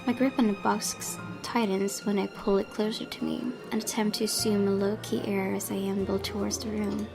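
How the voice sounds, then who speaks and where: A woman narrates a visual novel for her viewers. Her voice is medium in pitch and expressive, moving between storytelling and casual commentary. Game music plays clearly underneath. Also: moderate pace, few pauses. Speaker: let's play streamer.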